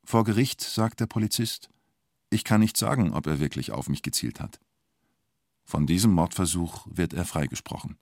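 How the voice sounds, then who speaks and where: A man's voice: low voice, expressive, moderate pace, gravelly, a little mellow, full character voice. A man playing the detached narrator of a true-crime radio drama, speaking in terse, matter-of-fact sentences. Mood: detached, factual.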